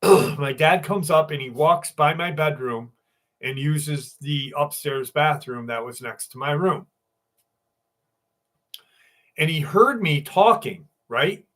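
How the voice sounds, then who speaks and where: A man's voice: on the high side, animated, measured pace, fairly bright tone. Casual, profane man hosting a live stream. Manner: casual and profane, greets viewers by name.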